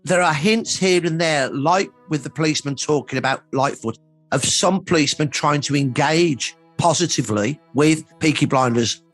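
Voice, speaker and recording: A man in his sixties speaking as a history expert in a documentary interview, explaining emphatically with rhetorical questions and deliberate lists. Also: fairly high pitch, a bit bright, faint music behind. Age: 60s.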